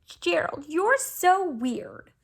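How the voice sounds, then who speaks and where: A woman voicing scornful jungle animals who taunt in a mocking, drawn-out way for a children's storybook. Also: very high-pitched, big pitch swings, heavily acted. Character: jeering animals, scornful crowd taunting a clumsy giraffe. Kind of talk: children's storybook read-aloud.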